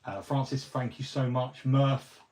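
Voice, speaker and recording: A man's voice: medium pitch, steady tone, a little slow, a little mellow, slight background noise. A middle-aged man, hosting a hobby livestream in a rambling, friendly way, full of ums and restarts, a little fluey. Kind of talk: casual livestream chat.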